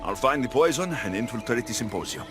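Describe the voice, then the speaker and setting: A man's voice: medium pitch, animated, fairly quick, lightly acted. An actor playing a blunt, determined warrior hero in a video game, earnest and direct in his delivery. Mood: questioning. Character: blunt, determined male warrior hero, wary but loyal. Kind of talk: video game cutscene acting. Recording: music behind the voice.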